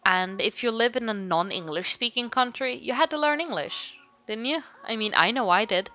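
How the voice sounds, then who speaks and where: Bright-voiced young woman, rambling. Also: a little high, expressive, normal speed, dull audio. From Sweden. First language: Swedish. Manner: rambling, frequent "like" fillers, self-laughing asides. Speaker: art vlogger. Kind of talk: casual talk to camera. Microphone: home microphone. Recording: music playing under the voice. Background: background music.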